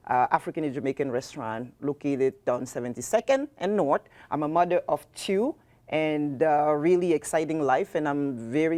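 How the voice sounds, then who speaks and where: A middle-aged woman from Cameroon with a Cameroonian accent, a restaurant owner giving warm, halting cooking advice as a guest in a studio interview. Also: sits low. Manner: warm, halting, many fillers.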